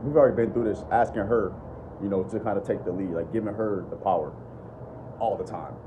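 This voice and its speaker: A young man with a deep voice, talking blunt and profane off the cuff to his phone camera at home. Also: a little fast, narrow, tinny audio, background noise. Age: young adult. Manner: blunt, profane, repeats "bro" and "bottom line". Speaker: dating and self-improvement vlogger. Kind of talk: unscripted casual talk to camera. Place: living room at home. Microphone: phone camera at arm's length.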